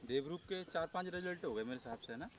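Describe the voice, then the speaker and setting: A man's voice: on the high side, expressive, quick pace. A man interviewing a farm worker outdoors at a horse farm, prompting him with questions and repeating answers back. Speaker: interviewer, channel host. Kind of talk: casual on-site interview.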